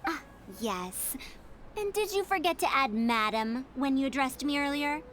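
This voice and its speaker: A woman's voice: high voice, animated, measured pace, fairly bright tone. A woman playing a proud, evasive elder scholar with a youthful sound in a video game, lecturing in formal, pompous phrases. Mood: feigned innocence. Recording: game music behind the voice.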